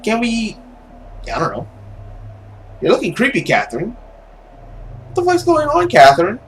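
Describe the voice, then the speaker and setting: A man's voice: sits high, huge pitch swings, a little slow, a bit bright, a little hiss or hum. A man hosting a gaming video, reacting in informal, baffled and profane commentary. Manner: exclaiming, comically baffled, profane. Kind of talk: informal let's play commentary.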